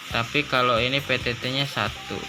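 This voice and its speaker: A man's voice: medium pitch, fairly even intonation, normal speed, poor audio. A man giving a casual unboxing commentary, informal and thinking aloud as he goes. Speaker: product reviewer. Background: music.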